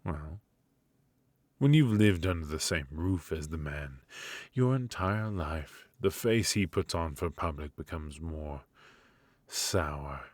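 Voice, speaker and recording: A man playing a bitter, haughty royal mage in an intimate fantasy ASMR roleplay, speaking in a measured, aristocratic, dryly mocking way. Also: very deep voice, expressive, dry recording, full character voice. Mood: wry, guarded. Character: bitter, sardonic, haughty royal mage passed over for succession. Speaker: amateur voice actor.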